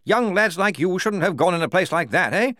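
A man playing a loud, hearty young army major. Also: expressive, a little fast, no echo, studio quality. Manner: booming, jovial, tacks on "eh?". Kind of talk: audiobook character voice.

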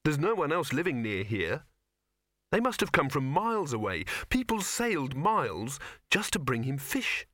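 A man's voice: a little high, sing-song, moderate pace, a bit bright, no room sound, studio quality, pitched above the performer's own voice. A man voicing an awkward, eager young man who speaks hesitantly and earnestly.

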